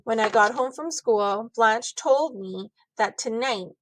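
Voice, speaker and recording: A woman reading a children's book aloud with expressive narration, drawing out key words for emphasis. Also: on the high side, slow, dry recording, low-quality recording.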